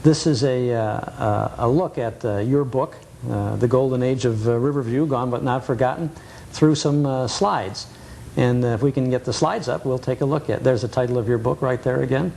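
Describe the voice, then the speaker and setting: An American man hosting a casual nostalgia interview on television, often pausing hesitantly as he phrases his questions. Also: medium pitch, creaky, a little mellow. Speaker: host of a nostalgia TV show. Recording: noisy 1980s cable TV recording.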